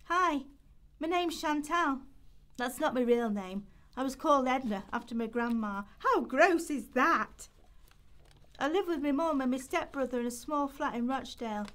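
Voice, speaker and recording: A woman's voice: high-pitched, lively intonation, moderate pace, a bit bright. A woman playing a poor, weary young girl from Rochdale who tells her story plainly in an amateur radio play. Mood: matter-of-fact, sad.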